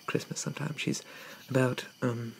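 A man playing a shy, gentle, polite undead gentleman in a hesitant, breathy, half-whispered ASMR roleplay. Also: mid-range voice, steady tone, moderate pace, a little hiss or hum, natural voice, low-quality recording. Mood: shy, wistful. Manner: hesitant, apologetic, softly murmured, old-fashioned politeness.

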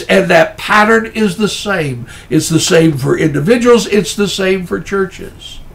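A middle-aged male pastor delivers a sermon with a congested, stuffy sound. His voice sits slightly high with a gravelly rasp. He speaks earnestly and deliberately, circling back and restarting phrases. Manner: earnest, measured preaching with frequent restarts. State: stuffy nose.

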